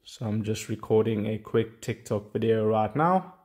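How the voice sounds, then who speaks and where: A man's voice: on the low side, moderate pace, a little mellow, slightly rough. A man narrating an instructional how-to tutorial, explaining plainly step by step. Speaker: tutorial host.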